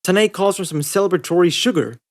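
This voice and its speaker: A man puts on an excitable storybook girl. The voice is pitched up high, bouncy and eager, with childlike fizz. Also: fast. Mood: excited. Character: bubbly, excitable, childlike party-loving girl.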